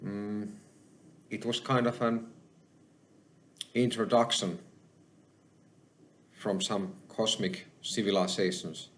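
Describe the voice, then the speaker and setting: A man with a Finnish accent, hosting his own channel and talking alone to the camera, slow and halting, with many pauses. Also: lowish voice, fairly even intonation, a bit bright, slight background noise. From Finland. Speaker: channel host. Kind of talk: solo spoken monologue.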